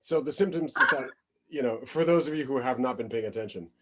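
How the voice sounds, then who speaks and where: A man's voice: medium pitch, fairly quick, over a noisy crowd. A man co-hosting a podcast, talking casually and working through his points with fillers. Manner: conversational, hedging with fillers, expressive. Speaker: podcast co-host. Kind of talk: casual chat.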